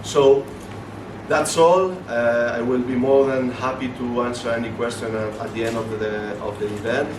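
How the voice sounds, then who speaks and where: Halting man speaking in an echoey hall. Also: medium pitch, fairly even intonation. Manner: halting, many fillers and restarts. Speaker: football league representative. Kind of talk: event speech. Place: hotel function room. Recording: echoey room from far off, noisy.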